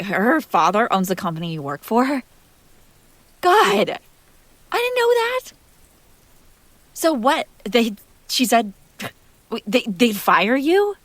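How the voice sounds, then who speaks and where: A woman playing a jealous, possessive and fiercely protective young woman in an intimate roleplay audio drama, speaking close to the mic in emphatic, halting bursts. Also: medium pitch, no echo, exaggerated voice. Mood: shocked disbelief. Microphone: close microphone, dry sound.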